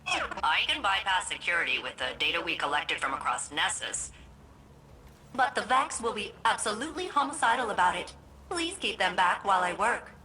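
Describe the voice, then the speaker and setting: An actress playing a chirpy, self-satisfied ship computer in a video game, with precise, formal phrasing. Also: female voice, medium pitch, very animated, moderate pace, sharp tone. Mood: wary. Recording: noisy game ambience behind the voice.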